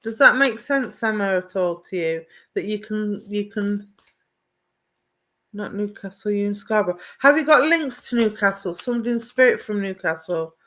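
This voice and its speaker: A woman's voice: medium pitch, animated, normal speed, sharp tone. A woman working as a psychic medium, giving live online readings, greeting viewers by name and calling them "my darling". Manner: affectionate, calls viewers "my darling"; greets and addresses viewers by name, asks if things make sense.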